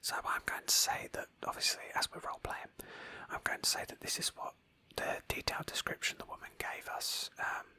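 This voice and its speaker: A woman whispering gently as she narrates an ASMR roleplay of a video game in a storytelling manner. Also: brisk. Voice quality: breathy whisper. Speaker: host of a roleplay game playthrough.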